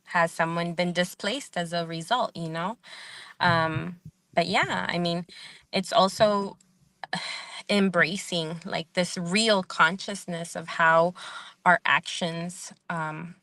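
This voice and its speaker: A woman who is a community organizer and professor, speaking as a podcast guest, thoughtful and measured, often trailing off with "um" and asking "right?". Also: lowish voice, a bit bright, no room sound. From Salvadoran family, living in the United States. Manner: thoughtful, frequent "um" and "right?" tags. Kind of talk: podcast interview.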